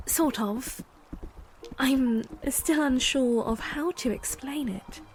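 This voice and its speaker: A woman's voice: sits high, slow, darkish tone. A woman playing an uneasy, hesitant young princess who startles easily, in an audio drama. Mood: thoughtful, unsure.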